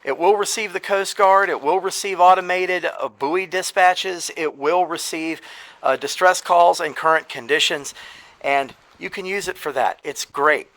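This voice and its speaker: A man's voice: high-pitched, a little slow, a bit bright. A man presenting radio gear in an informal show-and-tell, talking straight to viewers in an emphatic, explanatory way. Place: garage partly sunk into the ground.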